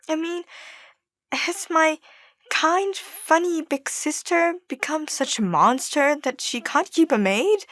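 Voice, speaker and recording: A woman's voice: high voice, a bit bright, dry recording, clean studio sound. A young woman acting an earnest, troubled young Hong Kong adult in stage drama dialogue. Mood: indignant.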